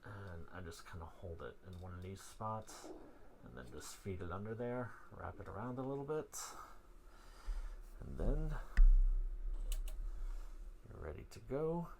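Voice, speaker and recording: A man giving a casual, hands-on gear demonstration in an informal, rambling, self-deprecating way, with a gravelly, husky voice. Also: sits low, animated, unhurried, long pauses, noisy background. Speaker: vlog host.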